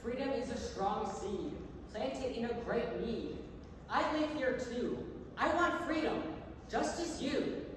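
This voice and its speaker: A woman's voice: on the high side, lively intonation, slow pace. A woman giving an emphatic, declamatory poetry recital on stage. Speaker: stage reader. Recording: echoey theater, distant mic, noisy.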